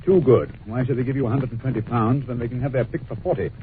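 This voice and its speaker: An actor playing a shrewd, cool-headed detective who reasons in a measured, dry way, in an old radio drama on a tinny recording with faint hiss. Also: male voice, medium pitch, close to an everyday voice. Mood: thoughtful. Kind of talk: 1940s radio acting.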